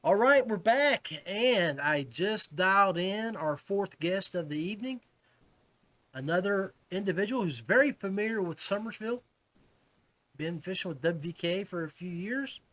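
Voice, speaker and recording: A man's voice: sits high, animated, normal speed, a bit bright. An American man hosting a casual fishing podcast, chatting with guests in a friendly, chummy and appreciative way. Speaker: podcast host.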